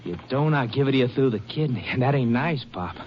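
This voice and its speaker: A man's voice: mid-range voice, expressive, a little fast, lightly acted. An actor playing a sadistic young male killer in an old radio crime drama, taunting and sneering. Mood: cold taunting. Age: young adult. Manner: taunting, sneering, cackling laughter. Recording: muffled, very noisy old radio recording.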